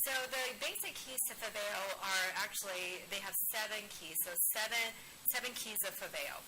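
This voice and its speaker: A woman presents in an upbeat, measured way, leaving room after each point. Her voice is mid-pitched for a woman, and clear background noise sits under the recording. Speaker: wellness product director presenting. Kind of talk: scripted presentation, paused for an interpreter. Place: studio set, seated.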